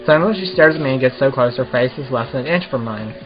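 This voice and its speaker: A man's voice: medium pitch, fast talker, muffled recording, music in the background. A man doing a casual let's play, reading visual novel text aloud and commenting as the host of a gaming video.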